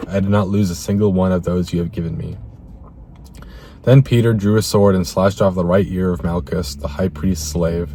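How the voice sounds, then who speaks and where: A slightly hoarse man talking in an earnest, reflective vlog and reading scripture aloud, halting now and then over repeated words. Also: low-pitched, warm tone. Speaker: self-improvement vlogger.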